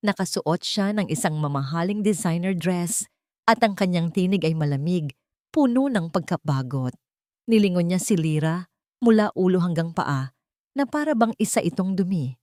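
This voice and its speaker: A woman's voice: lowish voice, animated, moderate pace, dark tone, dry recording, studio quality. A woman with a Filipino accent reading a scripted, dramatic romance story as narrator.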